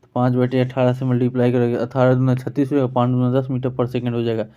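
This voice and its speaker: An Indian man teaches in an informal exam-prep style, his pitch almost completely flat. His voice is clean and smooth, with an Indian accent. The recording sounds dull and lo-fi. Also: fast. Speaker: physics teacher. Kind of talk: informal exam-prep lesson, solving questions.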